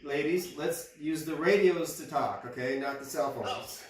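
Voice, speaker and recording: A man's voice: a little high, expressive, normal speed. A man setting up antennas and coaching others in radio procedure in a practical, instructive way. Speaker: radio setup helper. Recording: echoey room, distant mic, light noise.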